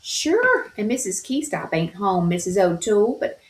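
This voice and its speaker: A woman's voice: mid-range voice, sing-song, moderate pace, lightly acted. A woman voicing a gossipy housemaid with a put-on brogue, read aloud from a storybook. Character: chatty Irish housemaid woman. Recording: roomy echo.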